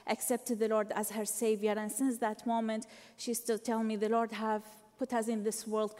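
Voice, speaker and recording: A woman in her forties from Iraq with an Iraqi Arabic accent, giving an earnest, measured faith testimony in a church. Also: medium pitch, darkish tone, in a large hall. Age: 40s. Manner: earnest, measured, non-native phrasing. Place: church sanctuary.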